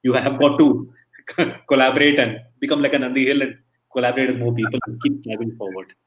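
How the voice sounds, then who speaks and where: A man's voice: mid-range voice, steady tone, a little fast, slight background noise, low-quality recording. A middle-aged man from India, a guest in a casual interview, talking in a chatty storytelling way with many restarts. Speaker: interview guest, emcee and entertainer.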